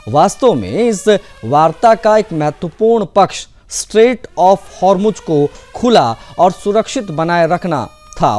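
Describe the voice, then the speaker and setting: A man's voice: on the high side, a little mellow. A man presenting scripted news as narration in a formal, emphatic manner.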